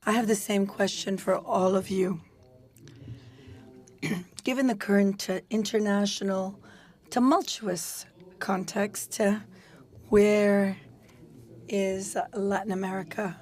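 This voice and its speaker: A woman giving live interpretation of a panel, speaking in a halting way with many pauses and restarts. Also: mid-range voice, fairly even intonation, a little mellow. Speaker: simultaneous interpreter.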